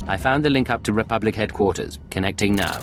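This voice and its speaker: Man playing secret agent, very low voice, fast-paced, businesslike, skeptical, game cutscene. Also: slight background noise, natural voice. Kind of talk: video game voice acting.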